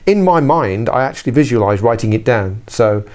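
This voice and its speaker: A man's voice: mid-range voice, animated, moderate pace, a little mellow. A middle-aged British man presenting an informal video blog as a trainer, chatty and self-correcting, with wry asides. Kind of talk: informal instructional talk to camera.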